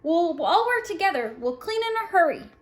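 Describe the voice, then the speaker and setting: A woman's voice: very high-pitched, very animated, a little fast, echoey room, pitched above the performer's own voice. A woman voicing an eager, helpful young child in a storybook, chirping reassurance. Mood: eager, reassuring.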